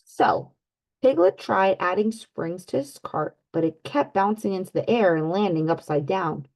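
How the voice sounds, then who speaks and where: A woman reading a picture book aloud for children, pausing to ask her young listeners questions. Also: medium pitch, lively intonation, gravelly, a little mellow. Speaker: children's read-aloud host. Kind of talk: storybook read-aloud.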